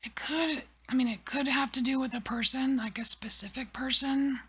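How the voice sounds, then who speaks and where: A woman giving a whispered tarot reading, rambling softly with many fillers. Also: high voice, fairly even intonation, normal speed. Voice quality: breathy. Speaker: tarot reader.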